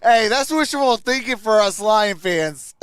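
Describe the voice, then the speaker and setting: High-voiced, clear male sports streamer. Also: animated, unhurried, a bit bright, dry recording, clean studio sound. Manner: greets viewers by name, conversational. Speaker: host of a sports livestream. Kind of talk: casual livestream chat and sports commentary, reading and answering viewer comments.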